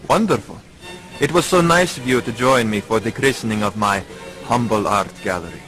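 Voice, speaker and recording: An actor playing a suave, courtly aristocrat in a video game, speaking formally. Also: male voice, lowish voice, moderate pace, fairly bright tone, soft background music, close to an everyday voice, low-quality recording. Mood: gracious. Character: suave, courtly aristocratic art gallery owner. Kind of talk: video game dialogue acting.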